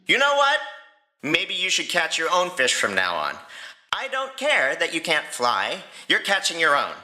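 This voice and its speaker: A man voicing an angry, sarcastic young Viking dragon rider in an animated fan fiction audio drama. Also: on the high side, unhurried, exaggerated voice. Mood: furious, scolding. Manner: ranting, sarcastic, exaggerated.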